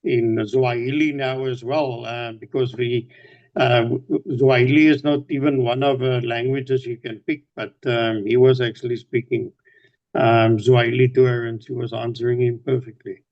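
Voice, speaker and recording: A man's voice: medium pitch, flat delivery, moderate pace. A man whose first language is Afrikaans, talking casually with many fillers and restarts. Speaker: participant sharing ideas. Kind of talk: casual discussion. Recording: dull lo-fi sound.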